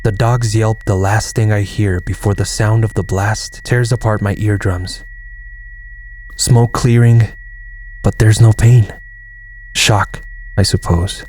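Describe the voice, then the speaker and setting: A man playing a stoic, fatalistic Navajo army air force lieutenant in an audio comic, solemn and measured. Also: lowish voice, fairly even intonation, darkish tone, a little hiss or hum. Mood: resigned, calm. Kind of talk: dramatic first-person narration and dialogue in an audio comic.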